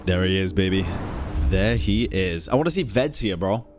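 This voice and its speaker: Animated young man reacting to a game. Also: deep voice, normal speed. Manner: slangy, profane, exclamatory. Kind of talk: casual gaming reaction commentary. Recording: game music behind the voice.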